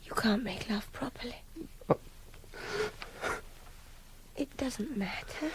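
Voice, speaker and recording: An actress playing an articulate young captive in a radio drama, earnest and emotionally direct with a breathy voice. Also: female voice, on the high side, moderate pace, heavy background noise, lightly acted. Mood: hushed, coaxing. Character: captive young woman, articulate, pleading, frustrated. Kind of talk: intimate radio drama dialogue.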